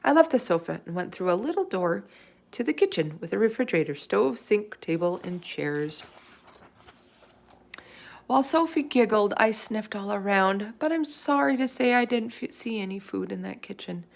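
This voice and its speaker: A woman reading a children's chapter book aloud in expressive narration. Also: mid-range voice, moderate pace, gravelly. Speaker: storybook reader.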